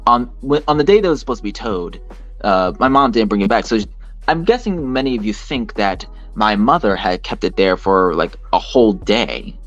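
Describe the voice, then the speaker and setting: Low-voiced man with formal, wordy delivery. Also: a bit bright, highs cut off. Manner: wordy, formal, pointed phrasing. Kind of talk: monologue addressed to camera and audience.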